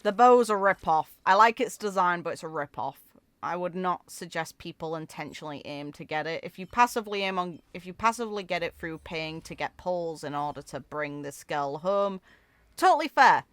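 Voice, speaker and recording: A woman giving casual, off-the-cuff reaction commentary as a gaming video host, informal and expressive. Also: lowish voice, moderate pace, no room sound.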